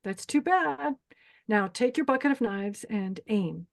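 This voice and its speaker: Woman playing a bossy, menacing pageant villainess, higher-pitched. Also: expressive, measured pace, creaky. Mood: cold, mocking. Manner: bossy, threatening. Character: menacing, deranged female pageant host ordering contestants around. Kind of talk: screenplay table read.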